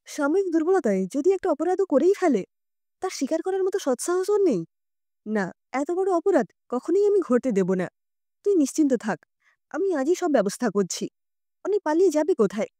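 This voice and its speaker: A woman's voice: high voice, big pitch swings, moderate pace, warm tone, no echo, clean studio sound. A woman voicing a concerned, resolute mother in an audio story, probing and decisive. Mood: stern, resolute. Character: concerned, resolute mother questioning her daughter.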